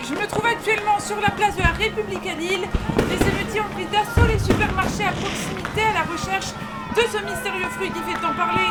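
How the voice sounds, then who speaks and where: A woman playing a television field reporter reporting live from a riot in an audio drama. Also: very high voice. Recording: loud crowd, traffic and music behind.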